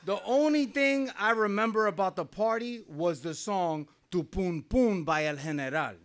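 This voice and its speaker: A man's voice: high-pitched, moderate pace. Wry middle-aged man telling a story. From New Jersey, USA. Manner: wry, conversational, often laughing. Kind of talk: live personal storytelling. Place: small venue, live on stage.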